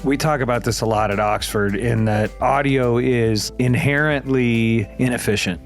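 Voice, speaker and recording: A man hosts a casual podcast discussion at a middling pitch. He muses aloud and lands his points with emphasis, in a conversational way. Faint music is present in the recording. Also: moderate pace. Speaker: podcast host.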